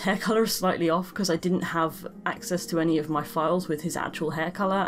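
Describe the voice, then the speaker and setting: Chatty woman, slightly croaky and tired, fairly low voice, desk mic with music underneath. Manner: chatty, self-deprecating, laughs often. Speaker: art channel host. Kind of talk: casual voice-over commentary on a drawing. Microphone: desk microphone. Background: background music.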